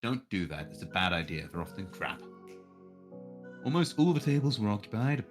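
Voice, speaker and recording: A man's voice: on the low side, big pitch swings, normal speed. A man streaming a visual novel, narrating aloud and breaking off into irreverent, sweary joking commentary. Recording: faint music behind the voice.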